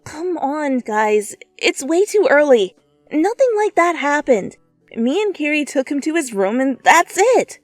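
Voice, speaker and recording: A woman's voice: high-pitched, very animated, unhurried, no echo, voice pushed up. A woman voicing a flustered, embarrassed teenage girl who stammers and blurts nervously, in an anime fan fiction reading. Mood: flustered denial. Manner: stuttering, blurting, nervous laughs. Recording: music behind the voice.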